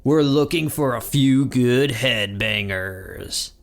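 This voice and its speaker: A man's voice: mid-range voice, takes it slowly, vocal fry, faint music behind. A man voicing a macho, punchy announcer in a cartoon army recruiting advert. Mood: macho, promotional.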